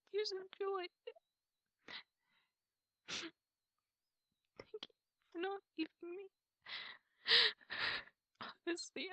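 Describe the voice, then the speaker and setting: A woman playing an apologetic, emotional girlfriend in an intimate ASMR roleplay, speaking softly and haltingly. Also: very high voice, no room sound, full character voice. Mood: tearful, relieved. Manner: halting, soft, breathy delivery with pauses. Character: apologetic, tearful girlfriend pleading to make up. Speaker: roleplay audio performer.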